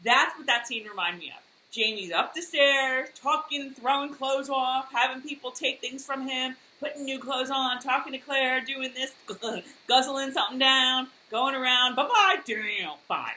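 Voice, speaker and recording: A woman's voice: sits high, unhurried, pushed and bright, slight background noise, low-quality recording. A woman co-hosting a casual recap chat, talking loosely with lots of fillers and frequent laughter. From United States. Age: adult, worked in high school and college years ago. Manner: chatty, laughs often, many fillers. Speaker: podcast co-host.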